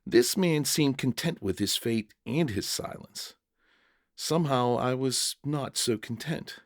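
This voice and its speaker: Expressive male storyteller, dry close sound. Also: medium pitch, no room sound. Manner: measured, reflective storytelling. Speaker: story narrator. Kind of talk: dramatic scripted first-person narration.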